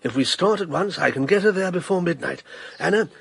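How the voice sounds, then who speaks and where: Persuasive banker, husky and gravelly, lively pitch, dry sound. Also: male voice, brisk, no room sound, full character voice. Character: middle-aged male banker, smooth and persuasive. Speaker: narrator and character. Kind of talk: radio drama.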